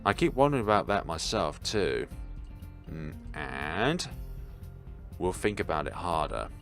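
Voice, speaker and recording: A man reads as a sleepy young woman art student from a visual novel. The delivery is low, laconic and detached, deadpan about everything, with faint music behind. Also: measured pace, exaggerated voice.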